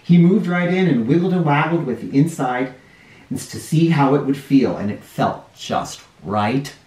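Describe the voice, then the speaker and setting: A man in his forties reading a picture book aloud to children in an expressive, explanatory way. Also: a little high, a little slow. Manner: animated, explanatory, addressing young listeners. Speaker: children's storytime reader. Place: living room or playroom indoors. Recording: roomy home sound, distant mic.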